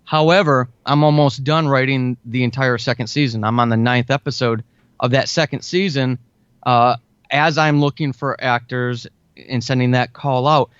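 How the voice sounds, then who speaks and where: A man chats enthusiastically and earnestly as a guest writer in an interview. His pitch is average, and the sound is dry and somewhat dull, with a limited lo-fi band. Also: steady tone, moderate pace, a bit bright, clear, resonant tone, no room sound. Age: 40s. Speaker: guest, fiction and audio drama writer. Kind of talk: casual conversational interview.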